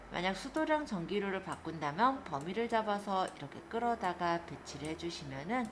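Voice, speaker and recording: A woman instructor giving a polite, step-by-step spreadsheet software tutorial, guiding listeners through each action. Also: fairly low pitch, a little hiss or hum. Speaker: computer skills instructor.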